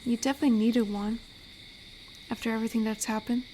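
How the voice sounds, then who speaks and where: A woman playing an amiable female werewolf in an intimate roleplay audio drama, gentle and teasing as she speaks softly to the listener. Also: on the high side, steady tone, quick pace, a little hiss or hum, lightly acted. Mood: tender, comforting. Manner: gentle, teasing, soft-spoken. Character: amiable, flirty female werewolf, tender forest protector.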